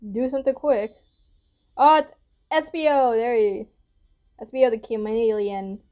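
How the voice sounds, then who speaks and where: A woman's voice: fairly high pitch, steady tone, a little slow, dull audio. A young woman giving casual reaction commentary as she hosts a video, recorded indoors in a small room. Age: young adult.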